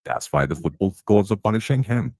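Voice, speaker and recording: A man's voice: on the low side, expressive, a little fast, a little mellow, breathy edge, no echo, clean studio sound. A man playing a gossiping canteen tea lady, chatty and blunt with plenty of slang. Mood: grumbling.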